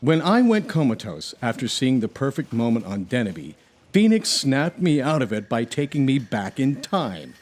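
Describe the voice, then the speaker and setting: A man plays a mild-mannered hero of a comic sci-fi audio drama. The voice is medium in pitch and very expressive. The manner is courteous, earnest and explanatory. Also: moderate pace. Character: earnest, mild-mannered male space hero who knits.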